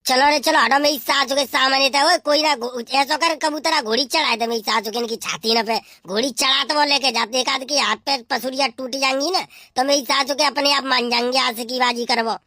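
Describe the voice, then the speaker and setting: A man voicing a bossy, irritable cartoon father bird, scolding in a rustic western Uttar Pradesh accent through a pitched-up effect. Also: fairly even intonation, brisk, bright, forceful tone, no room sound, studio quality. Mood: bossy, irritated. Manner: scolding, rustic, repetitive cursing. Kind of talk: comic cartoon dub.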